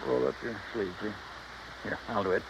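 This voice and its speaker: An actor playing a fussy, inquisitive male doctor who soothes and insists in a measured way, in 1950s radio drama style. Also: on the low side, animated, vocal fry. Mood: calmly insistent. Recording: muffled, noisy old radio recording.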